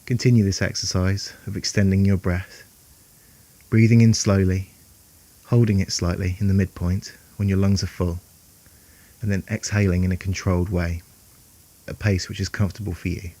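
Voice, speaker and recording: A man guiding a meditation in a calm, soothing, unhurried way, leaving long pauses between phrases. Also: low-pitched, low-quality recording. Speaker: meditation guide. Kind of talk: guided meditation narration.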